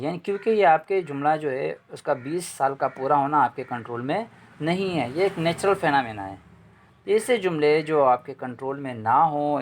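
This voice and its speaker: A man teaching English grammar in a lecture, explaining patiently, repeating points and asking rhetorical questions. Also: medium pitch.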